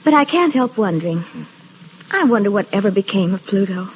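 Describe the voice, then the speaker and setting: An actress playing a gentle, affectionate, wondering wife in an old-time radio horror drama. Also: female voice, mid-range voice, lively intonation, fairly quick, lightly acted. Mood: fond, wondering. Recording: muffled, noisy old radio recording.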